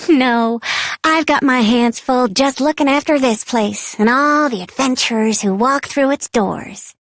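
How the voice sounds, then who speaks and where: A woman's voice: high-pitched, a little slow, no room sound. A woman voicing a frank, breezy, busy tavern keeper in a fantasy video game. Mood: breezy refusal. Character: brisk, busy female tavern keeper for adventurers.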